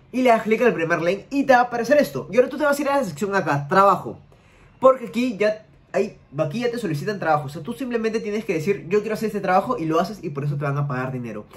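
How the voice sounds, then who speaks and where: A young man hosting a screen-share tutorial, giving direct and repetitive step-by-step instructions to the viewer from a small room at home. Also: high voice. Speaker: YouTube money-making tutorial host.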